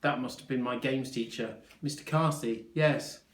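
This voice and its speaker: A man's voice: medium pitch, steady tone, normal speed, reverberant, close to an everyday voice. A man voicing a young, plain-spoken, slightly weary schoolboy patient in a children's story, giving short, simple answers. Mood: matter-of-fact. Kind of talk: children's storybook character reading.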